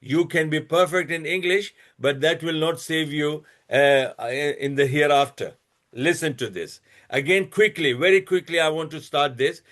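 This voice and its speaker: A man hosting his own channel, giving a lecture-style talk. He reads out a string of references slowly and deliberately, with many restarts and fillers, and turns blunt and scolding when he addresses critics. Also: on the high side, fairly bright tone. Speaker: channel host presenting a religious comparison.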